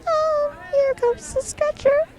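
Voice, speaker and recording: Woman as very high-pitched anxious schoolgirl. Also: fairly even intonation, takes it slowly. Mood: alarmed, worried. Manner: worried, exclaiming. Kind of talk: audio drama acting. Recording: loud music and crowd noise. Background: loud music and crowd.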